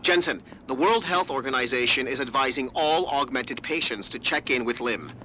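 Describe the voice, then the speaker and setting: An actor playing a snippy, know-it-all tech specialist in a video game, curt and condescending. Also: male voice, medium pitch, normal speed, pushed and bright, slight background noise, lightly acted, low-quality recording. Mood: curt, explaining.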